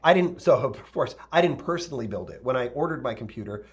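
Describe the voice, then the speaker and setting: A male architect teaching a live software tutorial, talking casually with viewers and thinking aloud with fillers; his voice is a little raspy. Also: mid-range voice, expressive, fast, muffled recording. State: slightly raspy voice, clearing throat.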